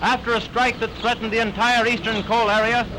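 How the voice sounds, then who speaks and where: A man's voice: very high voice, flat delivery, fairly quick, background noise. A man narrating a 1930s newsreel in an emphatic, declamatory style, on a vintage soundtrack. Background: loud newsreel music.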